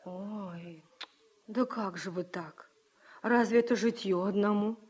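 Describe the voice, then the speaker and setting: An actress playing a plain-spoken, proper village widow of thirty in a Soviet radio drama, with folksy rural speech. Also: female voice, mid-range voice, slow pace, a little mellow, natural voice. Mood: gentle, curious. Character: village widow of thirty, simple, hospitable yet firmly proper.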